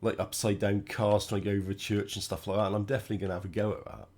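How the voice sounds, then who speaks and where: A man with a cold, sounding croaky and stuffed up, chatting casually through his own racing gameplay. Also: on the low side, very fast. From United Kingdom. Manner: relaxed, chatty, thinking aloud while driving. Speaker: gaming channel host.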